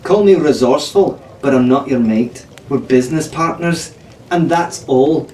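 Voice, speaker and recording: A man's voice: mid-range voice, normal speed, mellow, distant mic, soft background music, lightly acted. A man voicing a feisty, practical teenage tavern girl for a storybook, firm and earnest in manner. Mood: businesslike. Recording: roomy, off-mic, faint noise.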